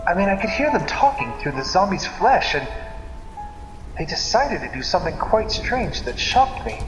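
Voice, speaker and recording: A man narrating a scripted story in a theatrical, deliberate manner, like an old-time radio serial. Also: high voice, sing-song. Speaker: narrator of a dream story series. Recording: echoey reverberant hall, loud music behind. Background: music.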